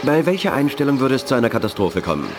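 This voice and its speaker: An actor playing a decisive, protective agent with an insistent, clipped manner in a 1980s radio drama. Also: male voice, medium pitch, very animated, fast talker, lightly acted. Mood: polite. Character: decisive male telepath agent, urgent and protective.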